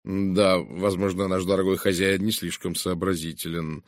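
A man voicing an earnest, polite, easily offended gentleman assistant in audiobook dialogue. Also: deep voice, little pitch movement, moderate pace, dry recording, clean studio sound.

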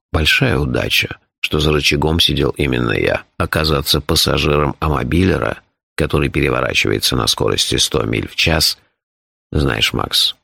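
A man voicing an imperturbable, phlegmatic male companion in an audiobook, speaking in a calm, measured, deadpan and formal way. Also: very deep voice, creaky, husky, dry recording, pitched below the performer's own voice, exaggerated voice. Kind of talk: audiobook character voice.

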